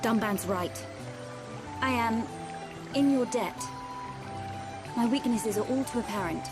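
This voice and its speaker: Proper young noble lady in a game, fairly high voice, reserved n formal, music behind. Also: takes it slowly. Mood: humble, grave. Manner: formal, precise wording. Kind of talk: video game cutscene acting.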